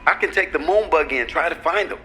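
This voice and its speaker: An actor playing an excitable, playful young-spirited male astronaut in an audio drama, with an eager manner. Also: medium pitch, quick pace, natural voice. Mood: concerned. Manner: eager, exclamatory. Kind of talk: animated audio drama acting.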